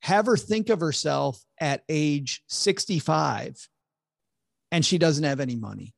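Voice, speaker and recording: A man's voice: fairly high pitch, expressive, unhurried, vocal fry, dry recording, clean studio sound. A man, a financial advisor guest on a podcast interview, explaining earnestly with frequent stammered restarts.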